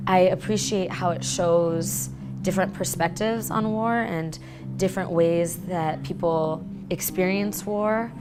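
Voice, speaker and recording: A woman teacher calmly explaining her school's dance project in a documentary interview. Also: lowish voice, music in the background. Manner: explanatory, measured.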